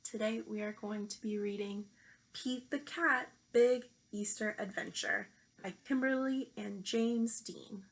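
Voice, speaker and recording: A woman teacher reading a children's picture book aloud, speaking deliberately to young listeners. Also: medium pitch, gravelly, rough recording. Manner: slow, deliberate delivery addressed to young children. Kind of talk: children's storybook read-aloud.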